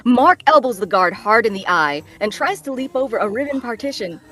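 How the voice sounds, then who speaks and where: Casting: woman narrator for script read, medium register, expressive range, music underneath. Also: fairly high pitch, brisk. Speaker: narrator reading stage directions.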